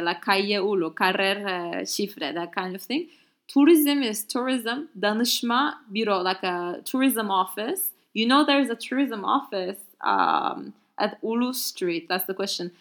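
A woman's voice: medium pitch, fairly bright tone. A woman whose first language is not English, explaining lessons casually with many fillers and restarts. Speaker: language teacher. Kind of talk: explaining a Turkish dialogue in English.

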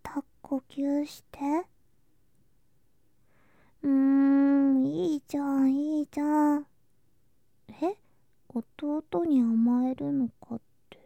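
Woman as clingy younger girl cousin, high voice, soft and breathy, frequent whispering, cutesy affection, ASMR voice drama, close dry recording. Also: no room sound, exaggerated voice. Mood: coaxing, clingy. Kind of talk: Japanese ASMR situation voice drama. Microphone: close ASMR microphone.

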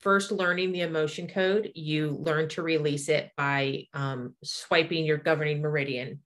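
Woman, forties, low voice, casual explanatory conversation, energy practitioner. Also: fairly even intonation, measured pace, a bit bright. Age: 40s. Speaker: energy healer, guest practitioner.

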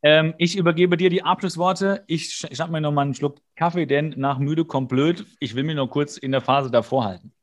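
A man with a voice a little higher than most co-hosts a podcast. He recaps in a loose, conversational style, rambling along with wry, joking asides. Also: steady tone, fairly quick, hardly any pauses. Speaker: podcast co-host. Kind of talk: casual episode recap chat.

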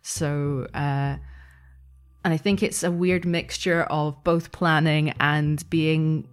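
A woman's voice: low voice, steady tone, slow pace, clean tone. A woman answering as a podcast guest and game master in a conversational, thoughtful, explanatory way.

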